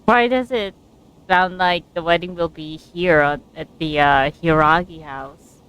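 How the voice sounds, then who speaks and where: A woman's voice: lowish voice, a little slow, a bit bright, smooth, low-quality recording. A woman streaming a game with casual, hesitant, giggly live commentary.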